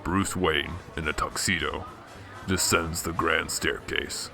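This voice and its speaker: A man's voice: extremely low pitch, steady tone, slow, vocal fry, soft background music, a faint crowd, heavily acted. A man playing a grave narrator who sets the scenes of a superhero radio drama in scripted present-tense description. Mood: grave, measured.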